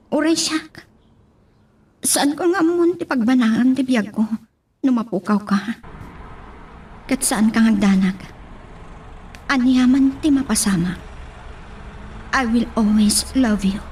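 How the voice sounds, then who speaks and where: A young sweetheart in a romantic audio drama, acted by a woman. Her voice is a little high, earnest and pleading, with a defiant streak. Light background noise and birdsong are behind her. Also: slow pace, pauses often, darkish tone. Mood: devoted, pleading. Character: devoted, defiant young female sweetheart, rich daughter.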